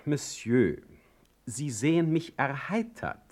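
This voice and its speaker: An actor playing a witty, sardonic, ailing middle-aged poet in a radio play, speaking with eloquent, mocking irony. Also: male voice, medium pitch, big pitch swings, takes it slowly, darkish tone. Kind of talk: radio play acting with letter narration.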